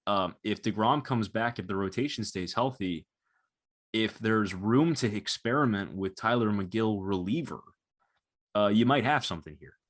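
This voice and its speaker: Low-voiced young male podcast host. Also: animated, normal speed. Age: 20s. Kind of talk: casual analytical sports chat.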